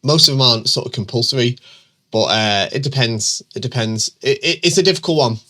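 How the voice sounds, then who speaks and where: Middle-aged male host with a bunged-up, stuffy voice from a cold; bright, forward tone, casual and rambling. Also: mid-range voice, fairly quick. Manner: chatty, many ums and restarts, reads questions aloud. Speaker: host answering viewer questions. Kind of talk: casual chat.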